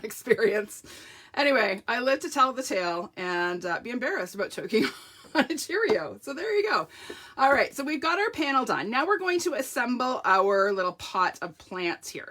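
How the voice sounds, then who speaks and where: A middle-aged woman with a croaky, sore throat, chatting through a live card-making tutorial while she works with her hands. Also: a little high, a bit bright. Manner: chatty, self-deprecating, talks while working with hands. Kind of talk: live craft tutorial with viewer shout-outs.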